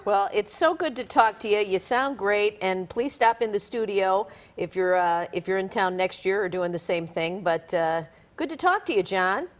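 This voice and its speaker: A woman's voice: mid-range voice, expressive, moderate pace, hardly any pauses. A woman co-hosting a live radio interview, friendly and chatty, laughing easily. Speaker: radio co-host.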